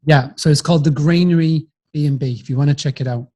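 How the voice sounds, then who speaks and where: A man's voice: on the high side, steady tone, quick pace, dark tone. Chatty Yorkshire man telling his story. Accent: Yorkshire English. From Yorkshire, England. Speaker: podcast guest, business founder. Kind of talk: conversational storytelling in an interview.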